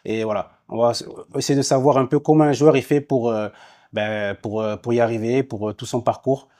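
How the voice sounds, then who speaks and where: A man's voice: medium pitch, fairly even intonation, fairly quick, warm tone. A man hosting a casual sports podcast, talking in a hesitant way with many fillers and restarts. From France. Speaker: podcast host, former footballer. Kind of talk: casual interview chat.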